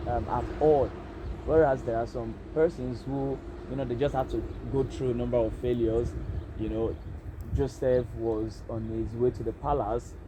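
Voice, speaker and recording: Reflective Nigerian man, noisy background. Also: mid-range voice. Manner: hesitant, reflective, many fillers. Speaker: vlogger. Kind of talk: casual self-interview monologue.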